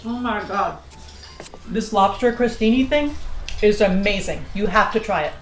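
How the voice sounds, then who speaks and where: A flippant female pilot acted by a woman who has no time for rules. She is teasing and breezy at a middle pitch, in naturalistic audio drama with a roomy sound and soft music. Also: expressive, normal speed, natural voice. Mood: breezy, nudging.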